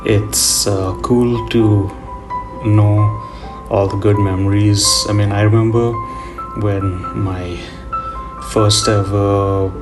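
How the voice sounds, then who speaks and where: Low, even-toned man over guitar music. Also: slow. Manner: nostalgic, reflective. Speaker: host of a drawing tutorial channel. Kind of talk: casual rambling voiceover.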